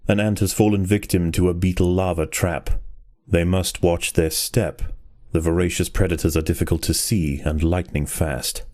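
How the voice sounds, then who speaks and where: A man reading scripted narration in the style of a nature documentary, with a measured, dramatic and solemn storytelling delivery. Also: deep voice, steady tone, darkish tone, no echo, clean studio sound.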